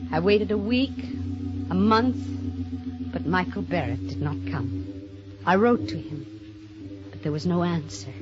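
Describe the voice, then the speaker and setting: Reckless radio murderess, muffled, music swelling. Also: female voice, medium pitch. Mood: brooding narration. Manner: dramatic, intense. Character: secretive, reckless murderess narrating her own crime. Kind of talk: 1950s radio mystery acting, first-person narration. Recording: dull old radio recording, loud music behind.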